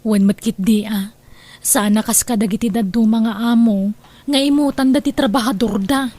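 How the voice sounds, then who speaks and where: A woman's voice: on the high side, fairly even intonation, moderate pace, warm tone, no echo, natural voice. A woman playing a chatty, complaining female coworker abroad in a radio drama, with a Filipino accent. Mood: grumbling. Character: grumbling female coworker friend working abroad. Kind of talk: Ilocano radio drama acting.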